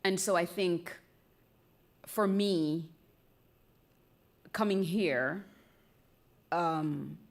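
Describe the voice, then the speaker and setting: The lower-voiced Jamaican woman on a cultural centre stage, taking her time over a question in a candid public conversation, playful and thoughtful. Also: drawn-out words, long pauses. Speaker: speaker in a live conversation event. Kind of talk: reflective, candid talk before a live audience.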